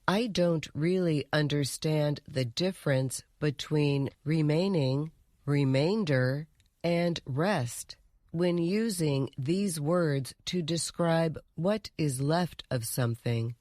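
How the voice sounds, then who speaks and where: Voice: medium pitch, slow pace, darkish tone, no echo, clean studio sound. A reader reading letters and example sentences aloud from a script, with careful enunciation.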